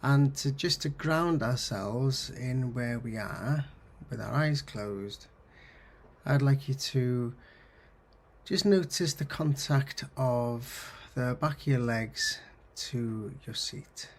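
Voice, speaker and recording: Male meditation guide: unhurried, gravelly and husky, soothing, gently instructive, medium pitch. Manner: soothing, gently instructive, pausing between short phrases. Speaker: counsellor guiding mindfulness. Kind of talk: guided meditation, body scan.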